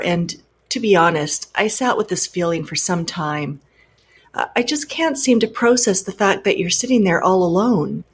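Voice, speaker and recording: A woman hosting a show, reading letters aloud in an earnest, measured way and adding pointed comments of her own. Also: fairly low pitch, breathy edge.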